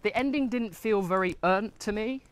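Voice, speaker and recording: A man's voice: high-pitched, moderate pace. A man, talking casually about a film while walking outdoors. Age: 20s. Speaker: film review vlogger. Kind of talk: casual film review chat. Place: outdoors, walking on a woodland path.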